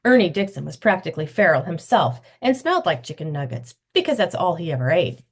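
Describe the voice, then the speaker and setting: A woman's voice: fairly low pitch, brisk, breathy edge. A woman author reading her children's novel aloud, telling the story expressively. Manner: expressive storytelling, acting out the dialogue.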